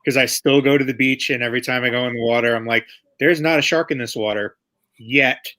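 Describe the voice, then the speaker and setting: A man in casual conversation as an interviewed writer, thinking aloud haltingly and circling back over his phrases. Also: medium pitch, steady tone, bright, forceful tone. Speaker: comic book writer being interviewed. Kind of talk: casual chat.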